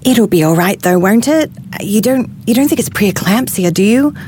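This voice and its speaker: A woman's voice: mid-range voice, animated, normal speed, darkish tone, natural voice. Actress playing anxious, hesitant pregnant woman, noisy background. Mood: frightened. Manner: hesitant, stammering. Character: pregnant woman, anxious, apologetic, downplaying her symptoms. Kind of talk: audio drama acting.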